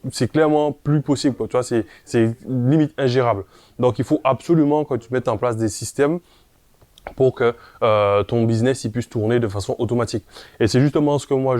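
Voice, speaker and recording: A man with a medium-pitched voice gives an informal, step-by-step explanation straight to the viewer. He is didactic and often checks that the listener is following. The recording is dry, with hardly any room sound. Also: darkish tone, no room sound. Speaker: presenter of a property investment tutorial.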